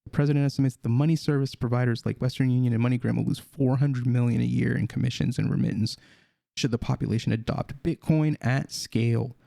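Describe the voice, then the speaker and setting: A man with a gravelly, mellow voice reads a news story aloud and breaks in with his own comments. He stumbles and restarts now and then, leaning on fillers. The sound is dry and close. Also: medium pitch, steady tone, fast, no echo. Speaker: crypto video host. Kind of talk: reading an article aloud with commentary.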